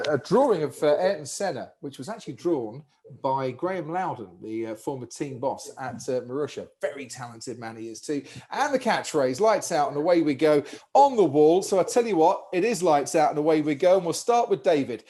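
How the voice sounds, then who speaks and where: Casting: male host, fairly high voice, breezy jokey patter, video call mic. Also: animated, a little fast, warm tone. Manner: jokey, upbeat broadcaster patter. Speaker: podcast host. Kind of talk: lively hosting chat with remote guests.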